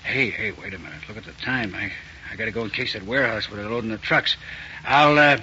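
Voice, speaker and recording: An actor playing a brisk, hurried hijacker in a 1940s radio crime drama. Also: male voice, fairly low pitch, bright, forceful tone, husky, heavily acted. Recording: muffled old 1940s radio recording, hiss.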